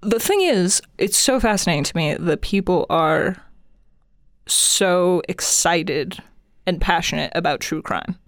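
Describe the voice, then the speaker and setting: A woman's voice: fairly low pitch, expressive, measured pace, no echo, clean studio sound. A woman in her twenties co-hosting a podcast in casual chat, enthusiastic and emphatic. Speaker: podcast co-host.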